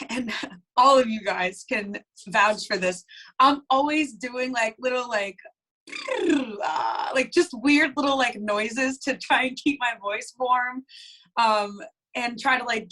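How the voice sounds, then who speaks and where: A woman's voice: a little high, bright, forceful tone, poor audio. A young woman, a band's lead singer, chatting casually in an interview with lots of fillers. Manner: chatty, many "like" fillers, laughs easily.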